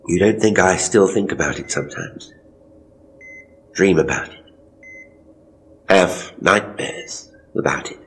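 A man playing a hapless, theatrical ghost tour guide in a horror audio drama, British, uneasy and hesitant, with dramatic pauses. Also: low voice, fairly bright tone, husky, heavily acted. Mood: guilty, defensive. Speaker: horror storyteller and voice actor. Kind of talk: dramatic first-person audio drama monologue.

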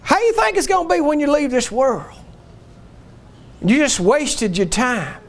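High-pitched fervent male revivalist preacher. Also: big pitch swings, measured pace. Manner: exhorting, emphatic, folksy, bursts into shouts. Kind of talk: fervent revivalist church sermon.

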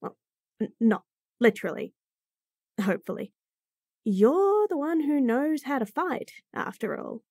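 A woman's voice: fairly high pitch, sing-song, a little slow, gravelly, pauses often, a little mellow, no room sound, studio quality. A woman playing a bratty bunny-girl chef. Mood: teasing. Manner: sassy, bratty, teasing. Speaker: voice actor in a roleplay audio. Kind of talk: F4M roleplay audio, acted monologue.

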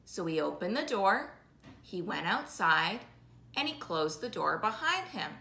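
A woman tells a children's story with big swoops in pitch and a slow, sing-song rhythm. Her voice is bright and clearly projected, with some room echo around it. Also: big pitch swings. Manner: slow, sing-song, repetitive rhythmic phrasing with drawn-out words. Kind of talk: animated oral storytelling for young children. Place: living room, indoors. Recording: roomy indoor echo.

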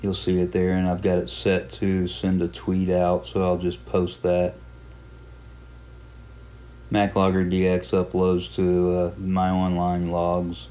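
A man giving an unscripted software walkthrough as a hobbyist, explaining step by step in a plainspoken, halting way. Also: low voice, monotone. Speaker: amateur radio hobbyist giving a software tutorial.

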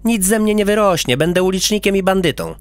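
A man's voice: a little fast, no room sound, faint music behind, lightly acted. A man doing a cheeky boastful little boy. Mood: prattling. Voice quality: high childlike eager voice. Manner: boastful, prattling, mischievous.

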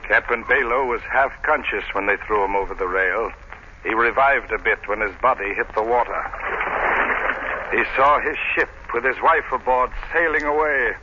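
A man's voice: mid-range voice, moderate pace, natural voice. An actor playing a grim, haunted seaman telling an ominous tale in dramatic 1930s radio style. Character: grim, haunted seaman recounting a ghost legend. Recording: dull, noisy 1930s radio recording.

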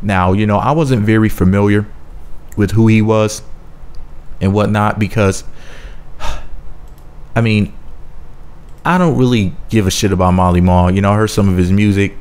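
A man's voice: fairly low pitch, darkish tone. A man giving casual, sarcastic celebrity gossip commentary in a mocking, profane rant. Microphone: voice-over.

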